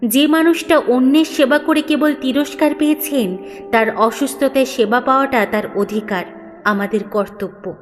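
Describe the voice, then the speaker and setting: A woman's voice: high voice, normal speed, faint music behind, voice pushed up, lightly acted. A woman storyteller voicing a caring, principled young daughter-in-law with an earnest, emotional manner. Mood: resolute, sorrowful.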